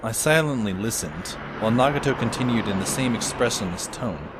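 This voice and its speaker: An actor playing a dry, sardonic teenage schoolboy who narrates an audio drama in the first person. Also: male voice, lowish voice, expressive, fairly quick, background noise, lightly acted. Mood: reflective. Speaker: narrator and lead character. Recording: music under the voice.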